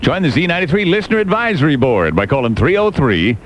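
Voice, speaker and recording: Animated, high-pitched man hosting radio banter. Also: quick pace, slight background noise. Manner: theatrical, colorful slangy phrasing. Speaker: radio show host. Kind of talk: comic radio banter with a caller. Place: radio studio.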